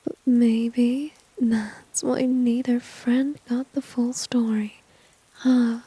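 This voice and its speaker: A woman acting a confident, flirty, dominant villain in an ASMR roleplay, whispering softly and teasingly with smug self-assurance. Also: fairly high pitch, flat delivery, no room sound, full character voice, low-quality recording. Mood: sly, self-satisfied. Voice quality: breathy, soft whisper. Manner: slow, teasing, smug, coaxing.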